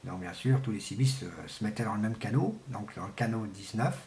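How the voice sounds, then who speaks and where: A middle-aged man giving an informal, unscripted explanation in a halting, colloquial way, repeating himself for emphasis, as an amateur radio hobbyist. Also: fairly low pitch, a little mellow. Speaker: amateur CB radio enthusiast presenting. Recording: echoey, roomy sound.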